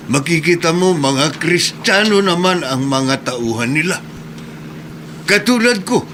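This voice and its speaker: A man's voice: on the high side, a bit bright, slight background noise. A man playing a persuasive market merchant. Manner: explaining, persuasive. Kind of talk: radio drama acting.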